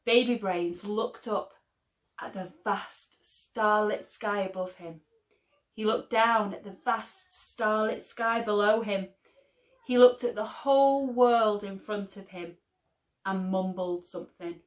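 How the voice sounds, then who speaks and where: A woman teacher reading a picture book aloud for children, slow and expressive. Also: mid-range voice. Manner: slow, expressive, lively storytelling. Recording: roomy echo.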